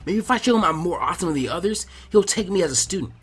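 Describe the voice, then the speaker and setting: A man voicing a brash, loud, indignant boy ninja trainee in a story reading, impulsive and a little whiny. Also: high-pitched, animated, rapid-fire, husky. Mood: worried, determined. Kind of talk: acted fan fiction reading.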